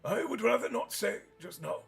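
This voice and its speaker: A man voicing a wise, elderly male headmaster who speaks in a measured, courteous way, high above his own voice, with soft music behind. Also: big pitch swings, vocal fry, full character voice. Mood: calm.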